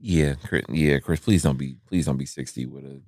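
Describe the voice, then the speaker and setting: A man in his thirties, chatting casually with friends in a laid-back, slangy way, telling animated stories. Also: a bass voice, normal speed, no echo, studio quality. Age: 30s. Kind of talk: casual chat.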